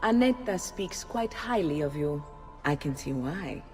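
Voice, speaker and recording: An actress playing a gracious, composed madam in a video game, courteous, with an Italian accent. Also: female voice, low-pitched, animated, measured pace, a little mellow, faint music behind. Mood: gracious, concerned. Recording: long hall reverb.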